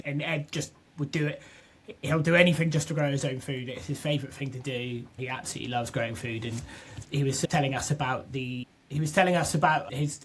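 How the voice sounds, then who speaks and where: A young man from southwest England talks as a guest on a podcast. His voice sits a little high. He chats freely, often stopping and restarting mid-sentence. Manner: chatty, restarts often, repeats words. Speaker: podcast guest, business co-founder. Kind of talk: casual interview chat.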